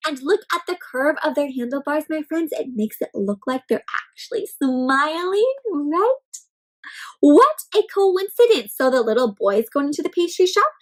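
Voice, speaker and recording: High voiced lady doing storytime for little kids, super expressive, asks lots of questions. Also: normal speed. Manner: expressive, exclaiming, frequent questions to listeners. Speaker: children's read-aloud storyteller. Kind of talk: interactive picture-book read-aloud for young children.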